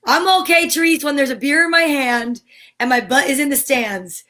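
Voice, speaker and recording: A woman co-host bantering casually, chatty and enthusiastic, jumping in with quick interjections. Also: sits high, bright, forceful tone. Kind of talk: casual banter on a live show. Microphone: headset or headphones setup.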